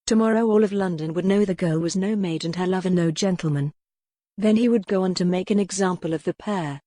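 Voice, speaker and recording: Low-pitched woman narrating historical romance. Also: fairly quick, warm tone, no echo, studio quality. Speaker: audiobook narrator.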